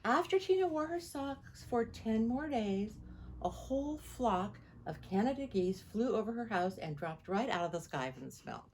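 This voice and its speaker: A woman reading a picture book aloud to children in an expressive, animated storytelling style. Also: a little high, normal speed, a little hiss or hum, low-quality recording. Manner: animated, repeats sound words.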